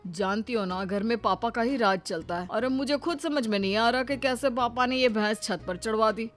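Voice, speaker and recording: A mild young cartoon husband, voiced by a woman, speaks in a fairly high voice. The manner is soothing and resigned, over faint music. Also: moderate pace, close to an everyday voice. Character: mild young newlywed husband, obedient to his father. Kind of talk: cartoon moral-story acting.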